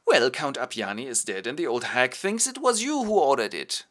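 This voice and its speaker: A scheming courtier talks smugly and offhand, as a man puts him on. The voice is bright and lively, fit for a comic storybook play. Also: medium pitch, normal speed, voice pushed up. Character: scheming, slippery male courtier.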